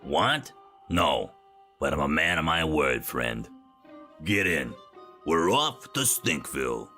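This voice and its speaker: An actor playing a gruff, weary but loyal cab driver in a cartoon adventure game, grudging and exaggerated, with music underneath. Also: male voice, rumbling low, big pitch swings, takes it slowly, sharp tone, full character voice.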